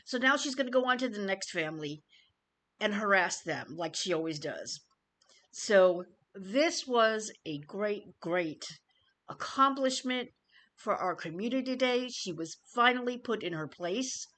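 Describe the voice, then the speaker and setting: A woman YouTube commentator giving an informal news update to viewers, phrasing things deliberately and emphatically with pauses. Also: medium pitch, animated, a bit bright.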